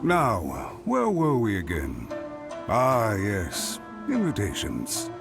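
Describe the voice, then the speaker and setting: Animated, deferential clan retainer. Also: male voice, fairly low pitch, slow, vocal fry, exaggerated voice. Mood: businesslike. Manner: formal, effusively polite. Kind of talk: video game voice acting. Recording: music behind the voice.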